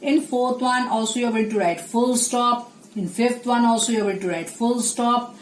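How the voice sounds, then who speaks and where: A woman, teaching an online class and slowly repeating answers for young pupils. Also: fairly high pitch, steady tone, reverberant, slight background noise, rough recording. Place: small indoor room.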